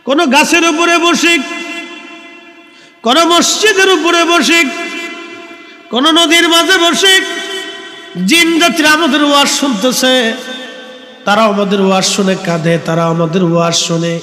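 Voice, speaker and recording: A man preaching an Islamic sermon, telling stories dramatically in an impassioned, melodic sing-song that swells into loud cries. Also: very high voice, a little slow, long pauses. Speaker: Islamic preacher addressing a gathering. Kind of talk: waz sermon with dramatic storytelling and chanted delivery. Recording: echoey PA with long reverb, musical sound behind.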